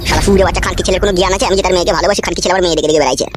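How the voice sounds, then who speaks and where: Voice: medium pitch, steady tone, very fast, background noise, close to an everyday voice. A performer voicing a crude, boastful, bossy village husband in a comedy cartoon, with coarse bantering talk. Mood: coarse, irritated.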